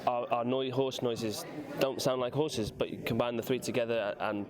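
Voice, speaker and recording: A man of middle pitch explains things casually in an interview. His delivery is slightly halting, and a crowd is clearly audible behind him. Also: steady tone. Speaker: puppeteer interviewee.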